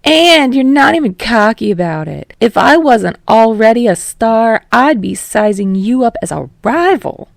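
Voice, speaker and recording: A woman's voice: a little high, expressive, a little slow, gravelly, hardly any pauses, no echo, voice pushed up. A woman voicing a brash, boisterous male rock star in an audiobook reading. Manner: boisterous, shouting, teasing. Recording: dry close recording.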